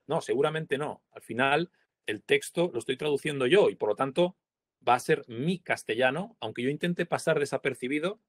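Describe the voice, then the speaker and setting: A Spanish translator in his forties, speaking in a reflective interview over a video call, pausing often with fillers and asking little tag questions. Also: mid-range voice, no echo, studio quality. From Spain. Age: 40s. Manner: thoughtful, hesitant, many fillers and tag questions. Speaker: translator, prize-winning guest.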